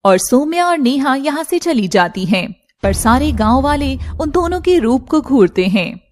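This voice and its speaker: High-voiced woman narrating a cartoon story. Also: very animated, normal speed, slight background noise.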